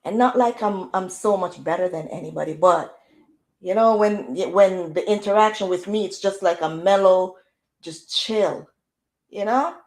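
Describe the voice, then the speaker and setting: A Jamaican woman hosting a casual livestream chat in a loud, projecting voice, firing off rhetorical questions with easygoing slang. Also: mid-range voice, a little slow. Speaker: livestream host.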